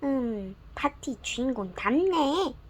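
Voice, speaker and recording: A bright little girl of ten, as a woman storyteller puts her on, eager and pleased with herself. Her voice sits high and swoops up and down as she chatters. It comes from a gentle bedtime storybook reading. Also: lively intonation, slow pace, exaggerated voice. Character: proud cheerful ten-year-old girl.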